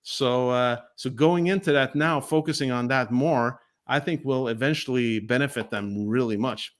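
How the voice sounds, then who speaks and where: Male co-host, mid-pitched, casual rambling explainer style. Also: moderate pace. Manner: rambling, frequent "right?" tags. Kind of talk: casual investor livestream chat.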